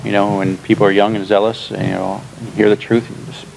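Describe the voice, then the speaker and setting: Guy in his 30s, pastor teaching a class, lowish voice, chatty and loose, noisy room mic. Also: fast talker, vocal fry. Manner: relaxed, chatty, frequent fillers and laughs. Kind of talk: casual teaching discussion. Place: church classroom, indoors.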